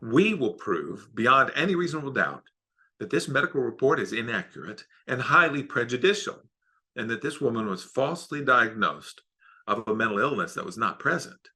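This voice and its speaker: A man plays a formal, emphatic trial lawyer in a courtroom drama. His voice is husky and forceful. It swings in pitch as he argues. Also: very animated, moderate pace, heavily acted. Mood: assertive. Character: forceful male trial lawyer making opening argument.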